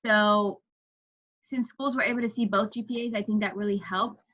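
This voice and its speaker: A medium-pitched woman's voice comes through dull, lo-fi video-call audio. She is a medical student on the panel and explains her choices in an earnest, reflective way. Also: fairly even intonation, moderate pace. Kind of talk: casual account on a video call.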